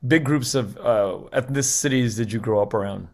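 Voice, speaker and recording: Halting man hosting a podcast. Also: medium pitch. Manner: halting, restarts a lot. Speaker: podcast host. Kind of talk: casual interview questions.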